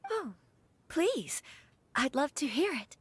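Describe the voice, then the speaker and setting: A woman's voice: sits high, very animated, slow pace, full character voice. An actress playing a young noblewoman bride, polite and earnest, searching for her resolve. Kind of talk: game voice acting.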